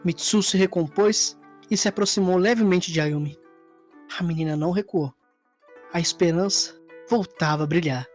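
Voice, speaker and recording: A woman's voice: lowish voice, moderate pace, darkish tone. A woman narrator reading a scripted story in a dramatic storytelling style. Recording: music under the voice. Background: background music.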